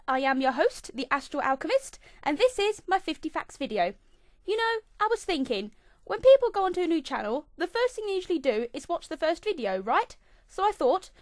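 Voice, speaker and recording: A young woman with an English accent talks through a scripted list about herself. Her voice sits high and swings up and down, bright one moment and then a low mutter the next. It is recorded dry and close on a home computer mic. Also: no room sound. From England. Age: 20s. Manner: chirpy, bouncy delivery with sudden dips into a low mutter. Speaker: channel host. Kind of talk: scripted fifty-facts vlog.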